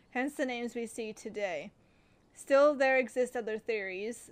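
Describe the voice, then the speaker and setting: A woman's voice: on the high side, clear, resonant tone. A young woman streaming a game, chatting casually and reading the game's text aloud. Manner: chatty, giggly, gushing.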